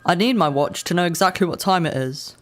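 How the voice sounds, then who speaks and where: A man's voice: sits high, brisk, fairly bright tone. Young man playing a literal, precise teenage boy. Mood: precise, insistent. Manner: matter-of-fact, precise, literal. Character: literal-minded, precise fifteen-year-old boy narrating his story. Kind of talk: radio drama narration and dialogue.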